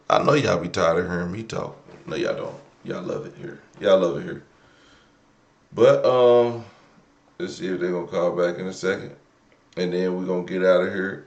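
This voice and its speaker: A man with a deep voice and an American accent hosts a live call-in show. He speaks loosely and colloquially, circling back over his phrases as he thinks aloud. The room around him adds a clear echo. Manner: colloquial, slangy, repeats himself while thinking aloud. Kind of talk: casual, off-the-cuff live talk. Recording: roomy, echoing room sound.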